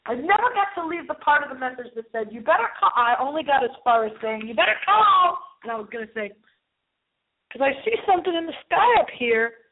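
High-voiced lady doing a rambly late radio show, dramatic and emphatic, repeats herself, sounds like shes on a phone. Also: very animated, a little fast. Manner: theatrical, emphatic, repeats phrases for effect. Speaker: host of a paranormal radio show. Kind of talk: rambling solo radio monologue. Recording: thin, narrow phone-line sound.

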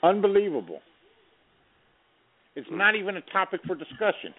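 A man on a talk radio panel, earnest and exasperated, who rambles and hunts for words with lots of fillers. His voice sits a little higher than most men's. The sound is thin and narrow, like a phone. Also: sing-song, normal speed. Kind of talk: casual political talk radio chat. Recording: tinny phone-like radio audio.

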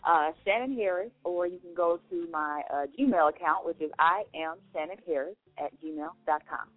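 A woman's voice: fairly low pitch, moderate pace, no echo. A woman singer answering interview questions, easygoing and a bit hesitant as she searches for words. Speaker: guest singer. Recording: tinny phone-line sound, faint music behind.

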